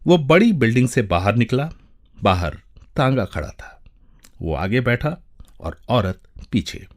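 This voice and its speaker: A man giving a dramatic, measured narration of a short story, with deliberate pauses. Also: mid-range voice. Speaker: voice-over narrator.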